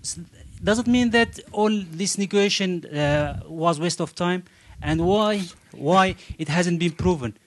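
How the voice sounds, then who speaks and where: A man asking a panel questions, halting often with "uh" as he searches for words. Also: high-pitched, dry recording. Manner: halting, many "uh" pauses. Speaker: audience questioner.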